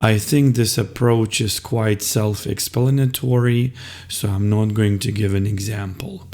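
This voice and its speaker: A man teaching, explaining classroom reading activities in a slow, deliberate way. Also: on the low side, steady tone, darkish tone, echoey room. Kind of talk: instructional video talk.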